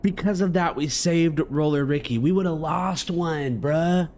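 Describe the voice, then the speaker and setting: A man commentating casually on a video game he is playing, talking in an animated, slangy way. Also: a little high, unhurried, slight background noise. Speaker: gaming video creator.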